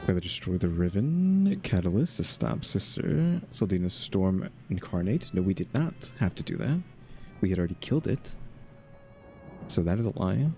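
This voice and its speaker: Casting: male gaming host, low-pitched, casual and grumbly, game music clearly behind him. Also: big pitch swings, normal speed, dark tone.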